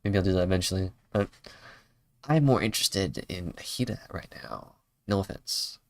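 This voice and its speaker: A man's voice: deep voice, big pitch swings, moderate pace, creaky, a little mellow. A man chatting casually as a game streamer, rambling and self-deprecating. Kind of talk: casual livestream gameplay chat.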